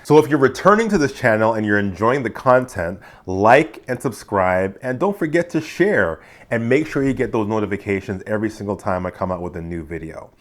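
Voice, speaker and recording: A man hosting a personal finance channel, talking casually to camera, sounding nasal and congested from allergies, chatty and encouraging. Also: fairly low pitch. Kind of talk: casual direct-to-camera talk.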